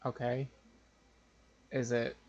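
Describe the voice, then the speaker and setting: A man's voice: mid-range voice, little pitch movement, slow pace, darkish tone, dull audio. A man doing casual live game commentary, thinking aloud in a hesitant, wry way. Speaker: game streamer.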